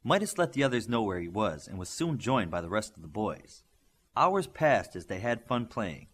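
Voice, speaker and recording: Lively male audiobook narrator, slightly husky. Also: on the low side, moderate pace. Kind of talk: scripted fiction narration with character dialogue.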